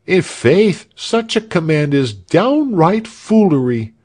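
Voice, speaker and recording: A man's voice: a little high, big pitch swings, takes it slowly, darkish tone, voice pushed up, full character voice. Man doing a thin, indignant old-style soldier. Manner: indignant, old-fashioned speech. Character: thin complaining soldier, proud and old-fashioned.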